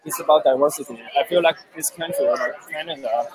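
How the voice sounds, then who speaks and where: A man from China, Chinese his first language, answering interview questions in halting English with many pauses. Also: on the high side, low-quality recording. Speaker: software developer guest. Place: busy event with crowd.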